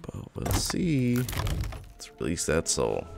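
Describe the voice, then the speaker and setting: A man's voice: low voice, wildly swooping pitch, slow pace, gravelly, music in the background. A man giving casual, laid-back commentary while playing a video game, thinking aloud as he goes.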